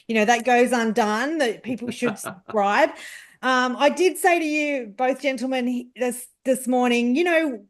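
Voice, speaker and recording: Higher-voiced woman hosting an interview. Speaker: podcast host, interviewer. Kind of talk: casual conversational interviewing.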